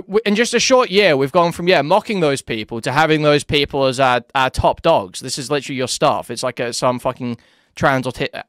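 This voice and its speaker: Crude, mocking young man with a smooth voice. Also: medium pitch, fairly bright tone, no echo, studio quality. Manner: mocking, crude and sarcastic, laughs at his own jabs. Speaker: commentary YouTuber. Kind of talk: drama commentary.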